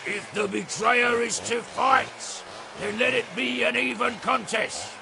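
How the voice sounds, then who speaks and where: An actor playing a savage, scheming warlord in an audio drama, commanding and taunting in a strained gravelly rasp. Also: male voice, extremely high pitch, slow, noisy background, full character voice.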